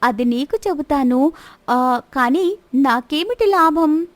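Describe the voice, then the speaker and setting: Woman voicing a high-pitched, bold young princess. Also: lively intonation, slow pace, no room sound. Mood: teasing, testing. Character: bold young princess disguised as a palace servant.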